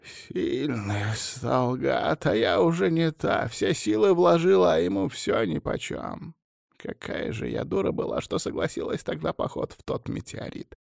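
Man voicing a stern old swamp witch, higher-pitched. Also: big pitch swings, moderate pace, vocal fry, no room sound, clean studio sound. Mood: weary, bitter. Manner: commanding, forceful threats.

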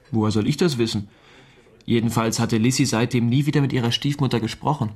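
A man's voice: medium pitch, fairly even intonation, fairly quick, darkish tone, lightly acted. An actor playing a smug, argumentative young man in a radio drama, confident, insistent and matter-of-fact. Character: cocksure young know-it-all, argumentative, smug.

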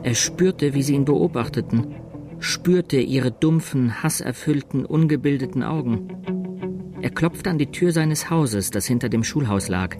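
An actor playing a storyteller who narrates a chronicle for a radio play in a measured, suspenseful way. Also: male voice, medium pitch, expressive, close to an everyday voice. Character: dramatic male storyteller reading a newspaper chronicle. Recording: narration over music.

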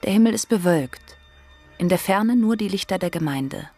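An actress playing a detached, observant narrator in a radio play, precise and descriptive. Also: female voice, fairly low pitch, expressive, moderate pace, dark tone, husky. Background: music.

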